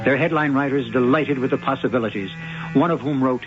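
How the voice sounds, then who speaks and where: An actor as the storyteller host of a radio mystery, narrating theatrically in a wry, ominous manner. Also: male voice, mid-range voice, background noise, lightly acted. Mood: wryly ominous. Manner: wry, ominous, deliberate diction. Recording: dull old radio broadcast recording. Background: music.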